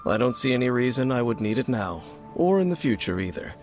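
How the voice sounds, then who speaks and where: A man playing an aloof, world-weary wanderer in a video game, speaking in a cool, measured, terse way. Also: medium pitch, sing-song, a little mellow, faint music behind, natural voice. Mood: cool, dismissive. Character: aloof, brooding, world-weary male wanderer who shuns the gods. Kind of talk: video game character acting.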